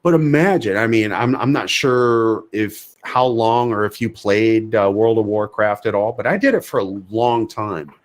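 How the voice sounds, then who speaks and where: A man's voice: fairly low pitch, animated, unhurried, few pauses. A man co-hosting a podcast in an opinionated, casual discussion, emphatically asking and answering his own questions. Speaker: podcast co-host.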